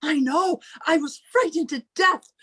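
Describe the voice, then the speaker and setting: An actress playing a prim, protective spinster sister in an old-time radio drama, formal and melodramatic. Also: female voice, sits high, unhurried, a bit bright. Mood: confiding.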